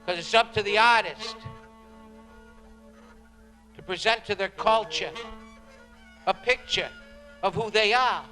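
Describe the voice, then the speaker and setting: A man's voice: high-pitched, slow, pauses often, bright, forceful tone, soft background music, heavily acted, poor audio. A man playing a fervent beat writer, delivering a dramatic, emphatic spoken-word monologue about his craft. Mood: earnest, solemn. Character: fervent beat-generation male writer reminiscing and preaching on writing.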